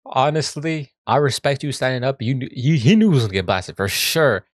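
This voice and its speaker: A man's voice: mid-range voice, expressive, fairly quick, breathy edge, no echo, studio quality. A man giving casual reaction commentary in a slangy, joking manner. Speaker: reaction video host.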